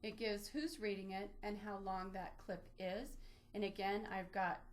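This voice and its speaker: A woman's voice: mid-range voice, level tone, unhurried. A woman presenting an instructional screen-share walkthrough, explaining each step informally as a webinar trainer. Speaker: librarian presenting a database tutorial.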